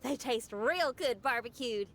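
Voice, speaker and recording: A woman's voice: a little high, animated, a little slow, fairly bright tone, a little hiss or hum. A woman playing a hearty, generous young outdoor cook in a video game, with a casual, folksy, upbeat manner. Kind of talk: animated video game acting.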